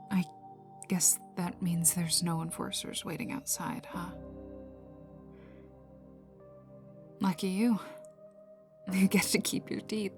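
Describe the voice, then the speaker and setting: A woman voicing a tough, bitter, sardonic young female villain in an intimate ASMR audio roleplay, often dropping into breathy half-whispers and swearing. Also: on the low side, a little mellow. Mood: grudging, weary. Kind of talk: intimate ASMR roleplay, one side of dialogue. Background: ambient music.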